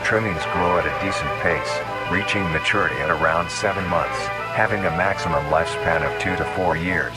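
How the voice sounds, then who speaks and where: A man reading a scripted documentary-style narration in a formal, clinical, measured manner. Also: deep voice, over loud music.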